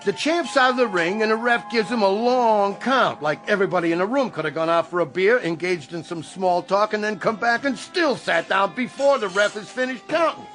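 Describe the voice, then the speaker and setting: High-pitched, hot-headed barroom gambler. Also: male voice, a little fast, hardly any pauses, a bit bright, soft background music. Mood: agitated. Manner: argumentative, slangy, blustering. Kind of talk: radio drama acting.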